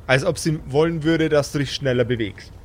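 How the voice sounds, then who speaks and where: A man's voice: a little high, brisk, slight background noise. A man, narrating an improvised tabletop game as game master.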